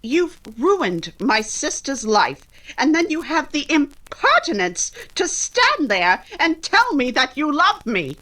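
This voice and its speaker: Woman playing a spirited young gentlewoman, very expressive. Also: sits high, slow pace, few pauses, heavily acted, rough recording. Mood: indignant. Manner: crisp, indignant, articulate. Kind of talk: old-time radio romance acting.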